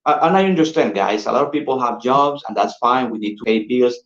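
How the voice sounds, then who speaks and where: A man with a Colombian Spanish accent, a sales manager giving an energetic, persuasive pitch and calling his listeners "guys" over and over. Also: a little fast. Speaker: insurance sales manager presenting. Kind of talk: upbeat sales pitch on a call. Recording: dull roomy lo-fi audio.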